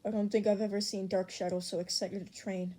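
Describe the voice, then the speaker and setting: A woman's voice: mid-range voice, little pitch movement, brisk, warm tone, close to an everyday voice. A woman voicing a solemn, formal teenage hero student in an audiobook. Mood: earnest.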